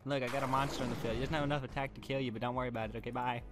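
A man's voice: medium pitch, steady tone, very fast. A man chatting casually and teasing his friends in slangy gameplay commentary as host of a card game video. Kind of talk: casual gameplay chat and trash talk with friends. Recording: music behind the voice.

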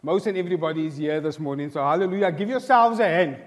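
A man in his thirties leading prayer and opening a sermon in a large church hall, loud and fervent, calling out to the congregation through a headset mic. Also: fairly high pitch, quick pace, noisy background. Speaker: preacher leading worship. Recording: echoey church hall with live music.

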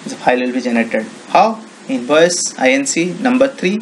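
A man with an Indian accent giving an informal software walkthrough, explaining step by step in simple, repetitive phrases. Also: mid-range voice, animated, normal speed, slight background noise, low-quality recording. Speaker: software tutorial presenter. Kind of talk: informal screen-recording walkthrough.

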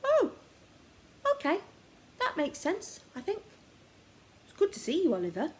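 A woman voicing an excitable, easily startled young boy in a children's story, exclaiming in a breathy voice. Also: very high-pitched, a little slow, pitched above the performer's own voice, full character voice. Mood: relieved. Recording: noticeable background noise, home recording.

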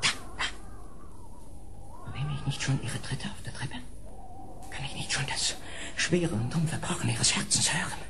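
An actor playing a tormented, terrified nobleman going mad in an audio drama, speaking in feverish, halting repetitions that break into whispers. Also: mid-range voice, natural voice. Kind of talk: 1970s German audio drama acting. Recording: noisy old audio drama recording.

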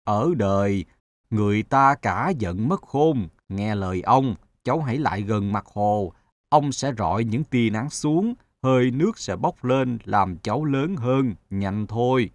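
An actor voicing a kindly old grandfatherly sun in a cartoon fable, speaking in a measured, moralising way. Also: on the low side, lively intonation, no echo. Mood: calm advising.

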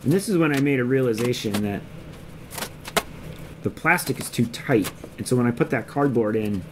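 A man's voice: medium pitch, expressive, moderate pace. A man in his thirties casually narrating a how-to homestead vlog in a basement workshop, easygoing and full of ums. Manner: easygoing, rambling, many ums. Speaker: homestead vlogger. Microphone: action camera on the body. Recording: noisy basement with chickens clucking. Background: chicks cheeping.

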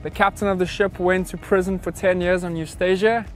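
Young guy captain on a sailboat telling an old tale, kinda high voice, lots of ums, faint music. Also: a little fast. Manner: relaxed, with frequent ums. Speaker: boat captain. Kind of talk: casual storytelling. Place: outdoors on a sailboat deck at sea.

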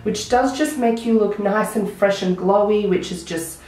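A young woman with a croaky voice, chatting enthusiastically through a makeup tutorial to a close camera in a small room. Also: mid-range voice, normal speed, dark tone. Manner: chatty, enthusiastic product talk. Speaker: beauty vlogger. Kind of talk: casual makeup tutorial chat. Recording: very echoey room.

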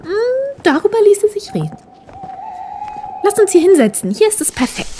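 High-voiced young girlfriend. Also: huge pitch swings, moderate pace, background noise, full character voice. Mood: teasing. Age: young adult. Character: uneasy, teasing young girlfriend in a dark forest. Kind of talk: horror audio drama acting.

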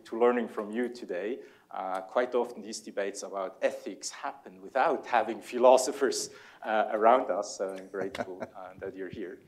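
A man in his forties with a Swiss German accent, a native German speaker, moderating a public panel and introducing speakers courteously, with frequent hesitant pauses. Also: on the low side, darkish tone. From Switzerland. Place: large lecture hall before a seated audience, on stage.

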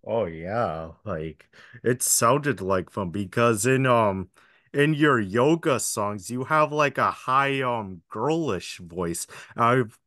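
A man's voice: mid-range voice, animated, takes it slowly, bright, forceful tone, dry recording, studio quality. A young adult man interviewing a singer over a video call, reading his questions with many ums. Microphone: video call, laptop webcam.

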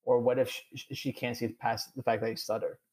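A man's voice: mid-range voice, little pitch movement, a little fast, darkish tone. A young man with a strong stutter, answering podcast interview questions candidly, with many repeated sounds and long strings of "uh". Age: young adult. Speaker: podcast guest.

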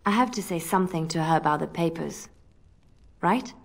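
A woman's voice: sits low, moderate pace, gravelly. A woman playing an earnest, principled young female spy in a video game, with a hesitant, pleading manner. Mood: worried.

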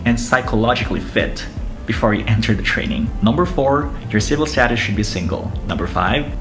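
A young man with a Filipino accent explaining things to camera as a vlogger in a living room. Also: medium pitch. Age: young adult. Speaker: vlogger, air traffic controller. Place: small living room, indoors. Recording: music playing behind.

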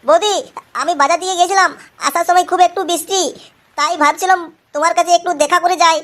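An actor voicing a crude, excitable young cartoon man who stammers and swears in an exaggerated comic style. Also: very high voice, quick pace. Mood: nervous, lustful. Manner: stammering, repeats words, swears constantly. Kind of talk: exaggerated comedy cartoon voice acting.

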